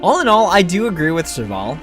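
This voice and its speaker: A male game streamer with a somewhat high voice chats casually about what he plays. His intonation swings around a lot, and game music plays behind him. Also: huge pitch swings, fairly quick, a bit bright. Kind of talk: casual gameplay commentary.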